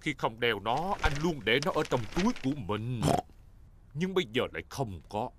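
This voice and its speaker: A man's voice: mid-range voice, sing-song, normal speed, heavy background noise, natural voice. A man voicing a good-natured, encouraging father pig in a dubbed children's cartoon, at times flustered. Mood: grumbling.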